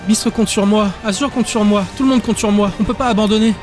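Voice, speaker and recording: A plucky small boy , acted out by a male reader, talks in a high, quick voice. He is earnest and unyielding, a young fantasy audiobook hero with music playing behind him. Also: fairly even intonation, natural voice. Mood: determined. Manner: determined, earnest. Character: small, stubborn, brave boy refusing to give up. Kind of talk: dramatic audiobook dialogue.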